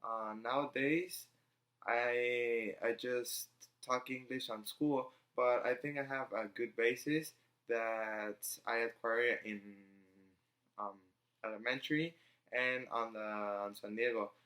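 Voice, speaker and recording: Slow, halting young man. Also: mid-range voice, steady tone, a bit bright. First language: Spanish. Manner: halting, searching for words with frequent ums. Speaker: student vlogger. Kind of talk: casual personal vlog.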